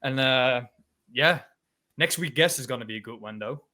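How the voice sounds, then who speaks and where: A man's voice: mid-range voice, normal speed, pushed and bright. A man in his twenties from the Netherlands with a Dutch accent, co-hosting a casual history podcast in a loose, chatty way with many fillers. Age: 20s. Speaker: podcast co-host. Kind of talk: casual chat.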